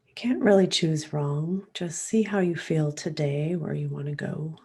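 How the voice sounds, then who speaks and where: A woman leading a guided meditation in a soft, calm, soothing and unhurried way, with long pauses and breath at the ends of phrases. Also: low-pitched, slightly rough.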